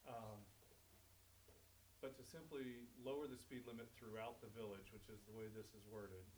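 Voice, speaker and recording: A man's voice: fairly low pitch, steady tone, moderate pace, noisy background. A man giving a blunt, argumentative public comment at a village meeting, with some restarts. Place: village council meeting room. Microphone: speaking without a mic, picked up by a room mic.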